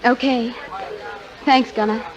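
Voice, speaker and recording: An actress playing a pilot's wry, anxious, devoted young wife in a 1940s radio drama. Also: female voice, on the high side, steady tone, takes it slowly, dull audio. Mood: quiet concern. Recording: noisy old 1940s radio broadcast.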